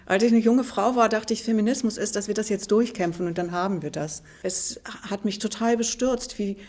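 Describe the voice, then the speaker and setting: A woman's voice: mid-range voice, a little fast, a little mellow. A woman in her sixties from Germany, giving a thoughtful, hesitant interview answer. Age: 60s. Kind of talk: reflective interview clip.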